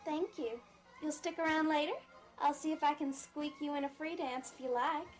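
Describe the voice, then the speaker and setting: A woman's voice: high-pitched, steady tone, normal speed, soft background music, lightly acted. A woman playing a young, polite, somewhat hesitant dance-hall girl in a drama. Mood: polite, grateful. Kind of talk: dramatic dialogue in an audio play or table reading.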